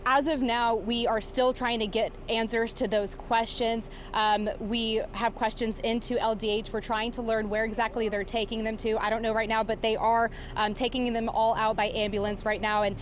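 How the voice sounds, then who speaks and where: A woman working as a field news reporter, giving a live breaking report in a halting, improvised, explanatory way from outdoors. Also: fairly high pitch, little pitch movement. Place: outdoors outside a warehouse. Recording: clear background noise outdoors.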